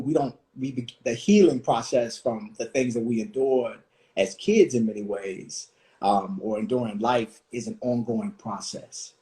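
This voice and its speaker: A man in his forties from Washington, D.C., hosting a reflective conversation, thoughtful and full of pauses and restarts. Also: mid-range voice, darkish tone, low-quality recording. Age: 40s. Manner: thoughtful, many fillers and restarts. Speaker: host.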